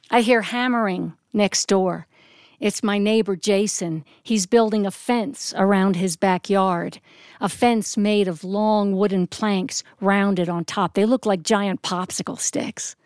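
An American woman telling a story live on stage in an expressive, descriptive manner with deliberate phrasing. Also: mid-range voice, clear, resonant tone, dry recording, clean studio sound. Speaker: storyteller and actress. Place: performance studio.

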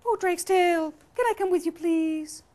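Super high pitched talking ladder, girly, eager, drawn out begging, storybook style. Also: normal speed, a little mellow. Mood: eager, pleading. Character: female talking ladder, pleading, eager friend.

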